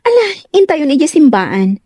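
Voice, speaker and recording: Woman as very high, bubbly best friend. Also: sing-song, normal speed, mellow, dry recording, clean studio sound. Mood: gushing. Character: bubbly, inquisitive female best friend of the bride. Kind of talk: Ilocano comedy drama dialogue.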